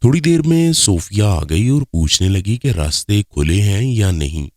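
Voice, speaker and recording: A man's voice: fairly low pitch, very animated, a little slow, warm tone, dry recording, clean studio sound. A man reading a scripted first-person story as its narrator.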